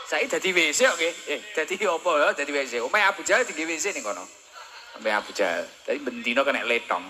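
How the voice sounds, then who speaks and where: A man's voice: a little high, animated, fast, sharp tone, faint music behind. A young man, hosting and preaching, who teases the crowd in a playful joking way. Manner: teasing, playful. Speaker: preacher and host. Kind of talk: joking religious talk. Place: outdoor stage. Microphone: headset.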